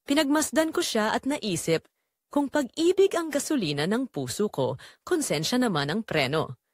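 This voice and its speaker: A woman's voice: a little high, big pitch swings, moderate pace, no echo, studio quality. A woman delivering emotive, confessional first-person narration for a scripted audio drama.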